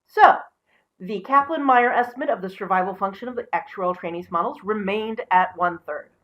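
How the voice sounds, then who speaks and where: Animated woman reading and chatting. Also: medium pitch, lo-fi sound. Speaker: storyteller and presenter. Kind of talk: expressive storybook reading with casual asides.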